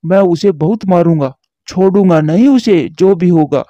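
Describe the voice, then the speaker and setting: A brave male pigeon from a cartoon, played by a man, earnest and determined in a high, mellow voice. The recording is dull and lo-fi. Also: moderate pace, no echo, lightly acted.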